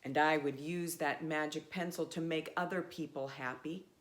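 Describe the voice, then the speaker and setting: A woman reading a children's storybook aloud in a measured, expressive way with pauses. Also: low-pitched.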